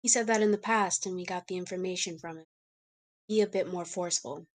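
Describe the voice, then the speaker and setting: Woman reading as a commanding, low-voiced crime family matriarch. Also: a little fast, lightly acted. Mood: cold, insistent. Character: ruthless, controlling crime family wife and mother. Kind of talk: live script table read.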